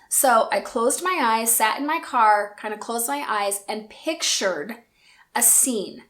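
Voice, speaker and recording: A woman in her forties telling a personal story in an earnest, reflective and gentle way. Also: on the high side. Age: 40s. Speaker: speaker and coach.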